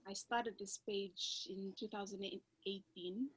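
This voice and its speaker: A woman's voice: medium pitch, steady tone, slow, husky, poor audio. A woman from Fiji with a Fijian accent, whose first language is Fijian, speaking as a panelist in a community discussion over a video call, thoughtful and hesitant with many filler sounds.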